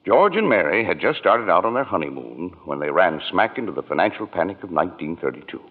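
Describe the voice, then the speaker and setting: Senior angel: low, authoritative, musing storyteller for radio drama, narrow vintage broadcast sound. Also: male voice, sing-song, fast talker. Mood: firm, musing. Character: heavenly superintendent angel, patient storyteller in charge. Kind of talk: old-time radio drama narration. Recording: band-limited old radio broadcast recording.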